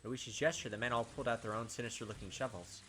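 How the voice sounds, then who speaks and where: A man with a bright, forceful voice of medium pitch narrates a visual novel. His delivery is dramatic and expressive, like a storyteller, with pauses for effect. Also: soft background music. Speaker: gaming video host.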